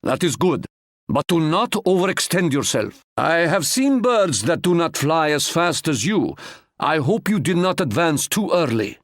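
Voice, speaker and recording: A man's voice: on the high side, dry recording, studio quality, natural voice. An actor voicing a proud, stately Ottoman sultan in a video game, speaking formally and quoting proverbs. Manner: formal, measured, fond of proverbs.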